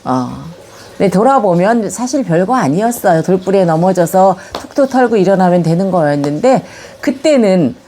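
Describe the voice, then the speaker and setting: An older Korean woman with a touch of Chungcheong accent recalls her life in an interview. Her voice is mellow and soft at the edges, and her pitch rises and falls freely as she talks. She speaks in a calm, reminiscing way. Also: normal speed, slight background noise. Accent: Chungcheong-tinged Korean. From Korea, Yongin area of Gyeonggi. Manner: calm, reminiscing, gently animated when recalling childhood. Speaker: elderly interviewee recording a video autobiography. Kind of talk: reflective life-story interview.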